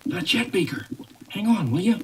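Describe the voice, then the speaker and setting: A woman's voice: mid-range voice, sing-song, moderate pace. Woman reading a storybook aloud, noisy recording. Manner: chatty and playful, talking to someone turning the pages. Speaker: storybook reader. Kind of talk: read-along story narration with casual asides.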